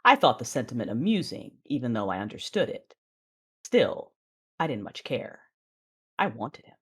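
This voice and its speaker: A woman narrating an audio drama in the first person, with a deliberate, theatrical delivery. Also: very deep voice, very animated, breathy edge.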